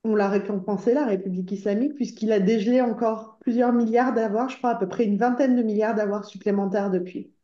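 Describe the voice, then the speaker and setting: A woman from Iran addresses a conference audience with earnest urgency, pleading for action between frequent hesitant fillers. Her voice is mellow and dark, of middle pitch. The room is roomy and echoing, and the recording sounds slightly dull and muffled. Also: steady tone, a little fast, hardly any pauses. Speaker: association spokeswoman speaking at a conference. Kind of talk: impassioned conference speech.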